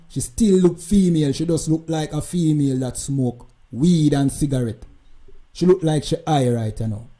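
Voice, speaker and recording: A man's voice: on the high side, dark tone. A Jamaican man hosting a live stream, talking emphatically and repeating "you know what I mean" as he comments.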